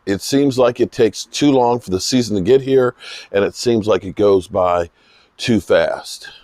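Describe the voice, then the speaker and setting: A man with a slightly hoarse voice giving unscripted, emphatic sports commentary, pausing mid-sentence for emphasis. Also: fairly low pitch, darkish tone, no echo. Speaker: football vlogger giving post-game reaction. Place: outdoors in misty rain.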